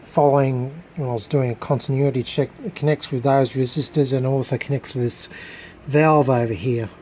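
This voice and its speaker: A man with an average-pitched voice talks through a hands-on hobby demonstration. He is unscripted and casual, often halting as he hunts for the right word. Light background noise and room ambience sit under his voice. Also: a little mellow. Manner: halting, searching for words. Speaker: hobbyist electronics restorer presenting a video.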